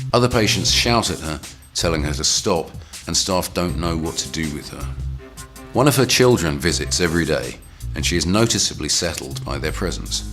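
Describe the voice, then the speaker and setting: A man reading scripted narration for a training film in a measured, formal manner. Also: low-pitched.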